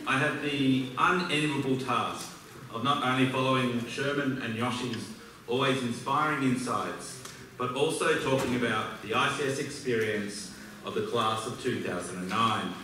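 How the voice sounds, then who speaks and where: A man in his thirties with an Australian accent, giving a prepared, measured speech at a podium in a large auditorium. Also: mid-range voice, bright, forceful tone, distant mic, slight background noise. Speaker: alumni speaker. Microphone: podium mic, filmed from far back in the hall. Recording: echoey hall recorded from afar.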